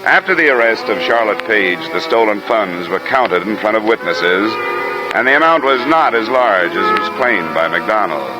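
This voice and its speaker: Hard-boiled American investigator, male actor: lowish voice, terse and dry, old-time radio noir with prominent music. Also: animated, normal speed, close to an everyday voice, rough recording. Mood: dry, narrating. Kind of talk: 1950s radio acting, noir narration. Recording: vintage radio recording with loud music.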